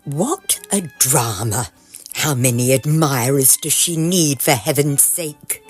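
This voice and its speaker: An actress playing a jealous, sardonic second soprano in a period farce, with dry, theatrical grumbling. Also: female voice, extremely low pitch, lively intonation, slow pace, slightly rough, faint music behind, exaggerated voice. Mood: sour. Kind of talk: period audio drama farce.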